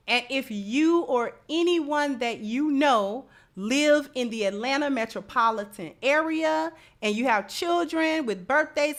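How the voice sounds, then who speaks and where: Higher-voiced American woman hosting. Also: fairly bright tone. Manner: emphatic, repeats phrases for effect. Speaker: show host. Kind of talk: live commentary and sponsor read.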